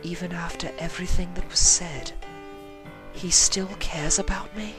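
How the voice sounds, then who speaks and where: Genteel cartoon unicorn lady, voiced by a man. High, earnest, anxious, a touch dramatic. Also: moderate pace, over music, natural voice. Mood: tender. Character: refined, dramatic female unicorn pony, anxious and remorseful. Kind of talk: fan fiction reading of a cartoon.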